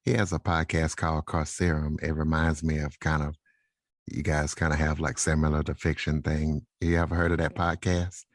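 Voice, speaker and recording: Very deep-voiced male podcast interviewer. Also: little pitch movement, moderate pace, dry recording, clean studio sound. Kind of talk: casual interview questioning.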